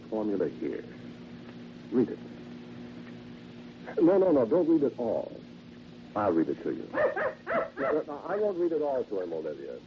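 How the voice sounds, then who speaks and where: Swooping, sinister genteel salesman, old radio. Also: lowish voice. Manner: polite, halting, insinuating, theatrical. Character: genteel, chatty, quietly sinister henpecked encyclopedia salesman. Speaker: radio drama actor. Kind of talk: vintage radio horror monologue, one-sided conversation. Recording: noisy, dull vintage radio recording.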